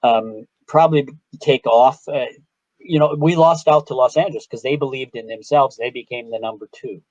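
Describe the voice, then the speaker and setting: A man's voice: mid-range voice, moderate pace, darkish tone, dry recording, lo-fi sound. Rambling Chicago-accented man on a video call. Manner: rambling, self-interrupting storyteller. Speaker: guest artist. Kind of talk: casual video-call interview.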